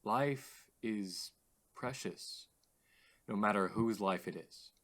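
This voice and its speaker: Man as a low-voiced, formal radio host. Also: fairly bright tone. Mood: grave. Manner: formal, measured, slightly theatrical. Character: earnest, philosophical male radio show host. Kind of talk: audio drama, formal radio presenting.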